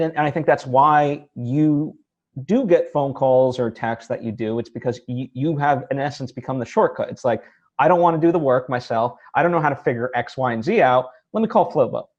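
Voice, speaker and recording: A man hosting a casual, reflective interview, talking in a halting way and repeating words as he reasons. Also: mid-range voice, lo-fi sound. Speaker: interview host.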